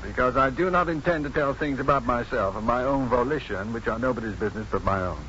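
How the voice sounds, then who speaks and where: A man's voice: mid-range voice, quick pace, fairly bright tone, close to an everyday voice. Proud maverick physician played by a male actor, formal and sardonic, 1950s radio play, dull hissy recording. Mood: defiant. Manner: formal, precise, dryly sardonic. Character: proud unconventional male doctor, coolly defiant and dryly witty. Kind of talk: 1950s radio drama acting.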